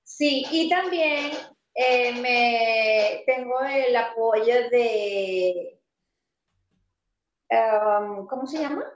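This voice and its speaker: Woman, high voice, chatty interview guest, emphatic, laughs often; roomy, slightly muffled audio. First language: Spanish. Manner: animated and emphatic, stressing points slowly, laughs easily. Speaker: interview guest, pastry entrepreneur. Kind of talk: casual conversational interview. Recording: roomy, slightly dull sound.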